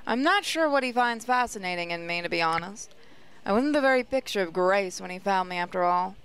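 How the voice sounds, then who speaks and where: A woman's voice: medium pitch, lively intonation, a little fast, a bit bright, smooth, low-quality recording. A woman reading visual novel narration aloud in a reflective, storytelling way. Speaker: gaming channel host, reader.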